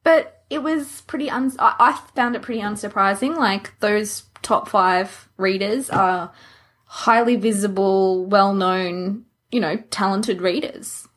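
Woman with a husky mid-range voice, chatting casually on a podcast. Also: medium pitch, measured pace, fairly bright tone. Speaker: podcast co-host. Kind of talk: casual chat.

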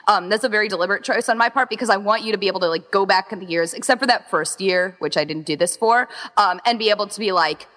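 Casting: woman, interview guest and organizer, bright crisp-edged tone, average pitch, talkative and informal, echoing recording. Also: fast, hardly any pauses. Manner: chatty, rambling, quotes imagined remarks. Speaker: interviewed co-founder and organizer of a fan audio exchange. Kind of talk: casual interview chat. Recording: long echoing reverb.

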